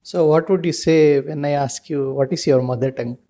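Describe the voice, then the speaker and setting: A man's voice: fairly high pitch, fairly even intonation, moderate pace, dark tone. A man interviewing a guest, asking brief and direct questions.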